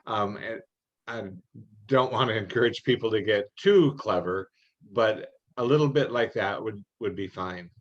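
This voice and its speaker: A man's voice: on the low side, measured pace. A man talking informally in an online meeting, advising others, over dull call audio.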